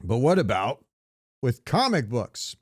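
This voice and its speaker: A man's voice: medium pitch, big pitch swings, measured pace, slightly rough, no echo, clean studio sound. A man co-hosting a podcast, chatting casually and informally, with swearing thrown in. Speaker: podcast co-host. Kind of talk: casual banter about films and pop culture.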